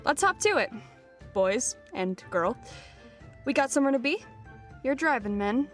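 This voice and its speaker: A woman's voice: high-pitched, sing-song, soft background music. A woman playing a brash, swaggering female adventurer who drives a hard bargain in a fantasy audio roleplay. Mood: cocky. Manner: bold, brisk, swaggering.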